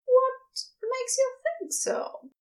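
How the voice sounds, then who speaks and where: A prim, nervous gentleman archivist from a storybook, played by a woman. The voice sits very high and swings about expressively, with fussy politeness and a hesitant, soft-hearted air. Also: very animated, drawn-out words, heavily acted. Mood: curious, teasing.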